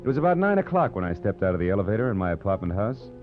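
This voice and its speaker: A hard-boiled American private eye from 1940s radio. The voice sits low, wry and wisecracking. The recording is dull and vintage, with music underneath. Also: male voice, sing-song, rapid-fire. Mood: tense narration. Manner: sardonic, clipped wisecracking. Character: wry, hard-boiled male private detective narrating his case. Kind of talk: 1940s radio detective drama acting.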